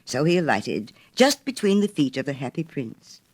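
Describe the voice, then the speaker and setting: A woman reads a storybook as a dramatic narrator. Her delivery is theatrical and expressive, and her pitch moves in wide, animated sweeps. The register sits low for a woman. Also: moderate pace, slight background noise. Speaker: audiobook narrator.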